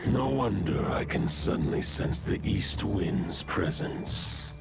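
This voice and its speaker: Old wolf god, super deep gravelly rumble, takes his time, music under it. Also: lively intonation, exaggerated voice. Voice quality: gravelly, hushed. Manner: slow archaic solemn speech. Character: ancient solemn male wolf god spirit. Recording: game audio with music behind.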